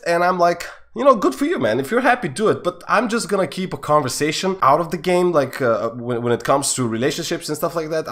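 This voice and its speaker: Young male storyteller from Bulgaria, Bulgarian native, slightly high voice, casual rambling delivery. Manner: rambling, many fillers and restarts, lively swings in pitch and loudness. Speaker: storytime YouTuber.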